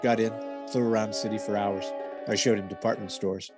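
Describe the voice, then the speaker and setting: Male actor as police detective, deadpan, clipped delivery, old-time radio parody, prominent music bed. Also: fairly low pitch, a little fast, close to an everyday voice. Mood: terse, matter-of-fact.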